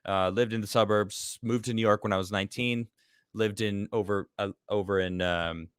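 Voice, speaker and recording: A man from Chicago hosting a casual live-stream talk show. He laughs often and reads viewer comments aloud in a lively voice. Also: lowish voice, moderate pace, smooth, dry recording, studio quality. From Chicago, United States.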